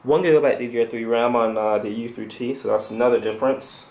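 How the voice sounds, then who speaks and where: A man with a medium-pitched voice hosts an unscripted tech review. He talks off the cuff, halting and hesitating as he works through his commentary. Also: fairly even intonation, vocal fry, darkish tone. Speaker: tech reviewer and channel host.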